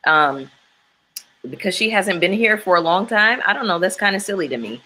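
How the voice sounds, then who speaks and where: A woman's voice: mid-range voice, lively intonation, moderate pace, pushed and bright. A woman chatting frankly and with strong opinions about a reality show, with many fillers. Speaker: panelist.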